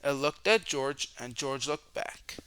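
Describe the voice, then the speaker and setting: A man reading a children's storybook aloud as a storyteller, with expressive narration, dramatic questions and drawn-out words for young listeners. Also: mid-range voice, clear, resonant tone.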